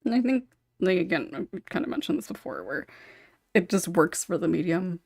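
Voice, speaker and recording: A woman's voice: on the low side, animated, normal speed, vocal fry, darkish tone, breathy edge, dry recording, studio quality. A woman hosting a casual panel discussion, chatty and lightly teasing in manner. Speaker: podcast host and script writer.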